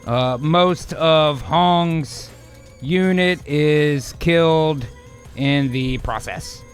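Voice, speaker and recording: A man with a fairly high voice narrates an improvised radio drama as co-host. He is loose and casual, generally slow in his delivery, with faint music behind him.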